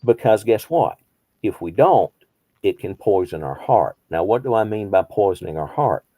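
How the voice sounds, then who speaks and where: A man's voice: lowish voice, expressive, moderate pace, warm tone, no echo. A man in his fifties, a behavioral health counselor, teaching a small group conversationally, posing rhetorical questions and repeating phrases for emphasis. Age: 50s.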